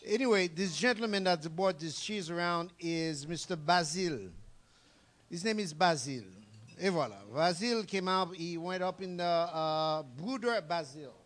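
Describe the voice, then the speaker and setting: Haitian-accented man with a lively patter. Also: high voice, unhurried. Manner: animated, repeats "okay?", laughs often. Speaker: cheese presenter. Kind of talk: casual live tasting talk.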